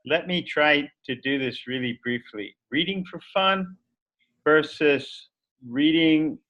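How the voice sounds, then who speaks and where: A man's voice: a little high, slow, muffled recording. A man teacher giving a thoughtful, explanatory answer in an online discussion over a video call.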